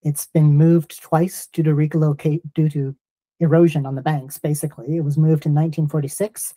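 A middle-aged man, explaining things in a measured, informative way as an interview guest on a video call. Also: on the high side, flat delivery, warm tone, no room sound. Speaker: guest, lighthouse organisation executive.

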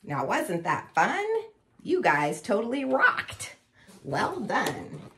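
A woman voicing an excitable, proud little mouse in a children's storybook, squeaky and exclamatory. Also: slow, distant mic, exaggerated voice. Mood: delighted.

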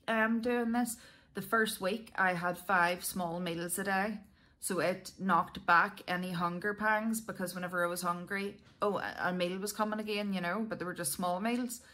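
A woman's voice: mid-range voice, bright, forceful tone. A Northern Irish woman chatting casually and candidly to her viewers, with a self-deprecating, conversational manner. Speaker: vlogger.

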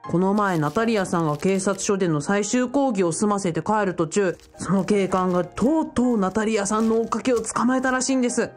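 A woman's voice: mid-range voice, brisk, hardly any pauses, faint music behind, lightly acted. A woman playing a polite, eager young man who works in a bookshop, deferential and explanatory, in a radio drama. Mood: polite, reporting. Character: polite young man, bookshop part-timer, eager self-styled fiancé.

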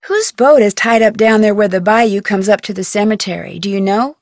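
A woman's voice: medium pitch, moderate pace, a little mellow, no room sound, studio quality, close to an everyday voice. A young woman voicing a curious, polite amateur detective in recorded game dialogue, asking earnest questions. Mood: inquisitive. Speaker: game protagonist. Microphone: close studio mic.

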